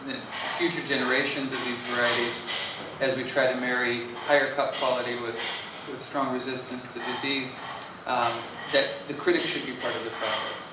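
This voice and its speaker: A man on a conference panel who talks in a measured, reflective way and uses steady fillers. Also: mid-range voice. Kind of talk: panel discussion. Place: conference hall stage. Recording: echoey hall, from far off, with clear background noise.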